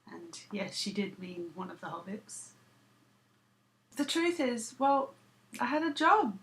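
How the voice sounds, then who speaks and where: A woman's voice: medium pitch, poor audio. A woman, vlogging to camera in a dry, self-deprecating, sarcastic way. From United Kingdom. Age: 30s. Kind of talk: casual talking to camera.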